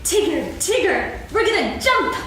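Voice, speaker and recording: A woman playing a small, eager, childlike animal with an excitable manner in a staged reading of a children's play. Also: very high voice, measured pace, a little hiss or hum, exaggerated voice. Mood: excited. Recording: echoey hall recorded from far off.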